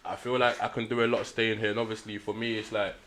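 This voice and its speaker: A man's voice: lowish voice, little pitch movement, quick pace, a bit bright. A young man from Nigeria who grew up in London, talking casually as a rapper guest, laid back and full of slang. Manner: laid-back slangy talk, laughs often. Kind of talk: casual chat.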